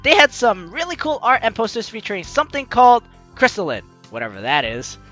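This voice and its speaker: Casting: excitable let's play host, bright tone, lively swings in pitch, stammering asides, playful delivery. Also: brisk, faint music behind. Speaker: gaming video host. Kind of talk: let's play commentary, reading game text aloud.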